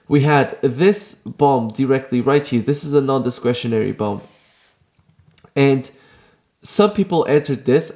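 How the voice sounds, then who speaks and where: Young man, casual trading vlog, relaxed self-deprecating delivery, lots of fillers. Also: medium pitch. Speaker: trading vlogger. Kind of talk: casual vlog and market commentary.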